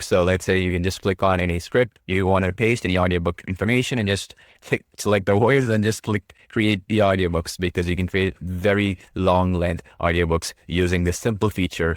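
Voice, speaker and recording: Deep-voiced man demoing software. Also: dry recording, studio quality. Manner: upbeat, breezy, says "boom" often. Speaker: product demo host. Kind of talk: casual screen-recorded walkthrough.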